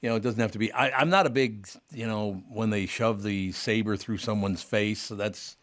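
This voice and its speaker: A radio host with a fairly low male voice interviews a guest. He is jokey and effusive, piling on praise. His sentences ramble and restart as he talks. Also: steady tone, normal speed, a bit bright.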